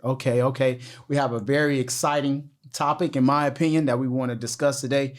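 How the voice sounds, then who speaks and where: A man's voice: medium pitch, fairly even intonation, brisk. A man hosting a casual podcast interview, playful and slangy, often repeating himself. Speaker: podcast host.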